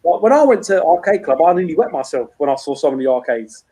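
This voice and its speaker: A man's voice: on the high side, sing-song, fast, dark tone, slightly rough. A man, hosting a rambling, casual video-call chat and swearing freely. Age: 30s. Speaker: host.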